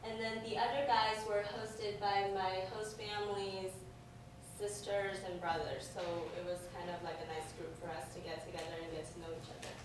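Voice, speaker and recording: A young woman with a Chinese accent, a former student giving a halting presentation full of ums and restarts. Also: medium pitch, level tone. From China. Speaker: alumni speaker. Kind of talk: presentation talk with slides. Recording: echoey room from far off, noise.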